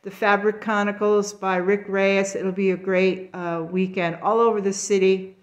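A woman's voice: mid-range voice, flat delivery, dull audio. A woman artist giving a casual, enthusiastic walkthrough of a gallery show, filmed on a handheld phone. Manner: chatty, enthusiastic, unhurried. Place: gallery in an old brick factory building.

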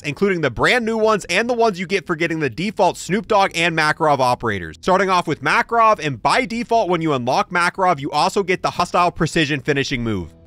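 A man's voice: fairly high pitch, a bit bright, no room sound. A man giving casual, matter-of-fact video game review commentary. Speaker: gaming video creator. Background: music.

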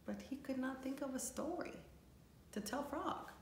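A woman's voice: high voice, lively intonation, moderate pace, a little hiss or hum. A woman reading a children's storybook aloud like a teacher, expressively and speaking directly to young listeners. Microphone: camera or phone a few feet away. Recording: echoey room.

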